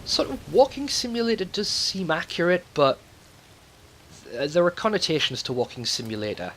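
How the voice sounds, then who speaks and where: A man's voice: on the high side, animated, normal speed. A man hosting a let's play with casual, rambling gameplay commentary and loose swearing.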